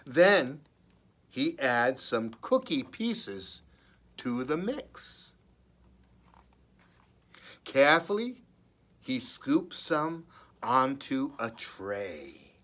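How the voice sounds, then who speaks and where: A man reads a picture book aloud for young children. His pace is extremely slow and deliberate, and his mid-pitched voice moves up and down in an animated way. He is expressive and slips into playful, chatty asides. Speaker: children's librarian reading aloud. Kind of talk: children's story time read-aloud.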